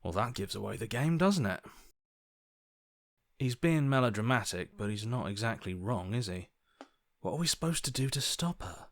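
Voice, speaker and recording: An earnest hero speaking as an anxious inner conscience in a video game. His mid-pitched voice is conversational, hesitant and wry. It is recorded very dry. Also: animated, normal speed, pauses often, no room sound. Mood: worried. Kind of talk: dramatic video game voice acting.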